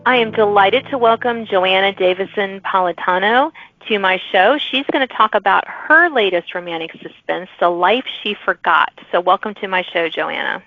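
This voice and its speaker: A woman's voice: medium pitch, moderate pace, narrow, tinny audio, soft background music. A woman hosting a podcast, asking interview questions in a mix of prepared wording and casual chat. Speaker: podcast host interviewing authors.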